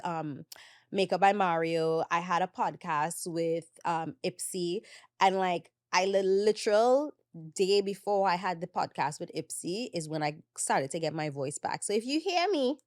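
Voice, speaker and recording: A woman hosting her own podcast, chatting casually and animatedly about her work, still slightly hoarse. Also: mid-range voice, no echo, studio quality. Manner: chatty and animated, laughing often. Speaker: podcast host, makeup artist. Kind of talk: casual solo podcast chat.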